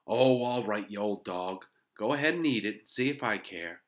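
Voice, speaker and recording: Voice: medium pitch, huge pitch swings, measured pace, fairly bright tone, pitched above the performer's own voice. An adult reader voicing a young schoolboy, eager and earnest, in a children's storybook. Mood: grudging, annoyed.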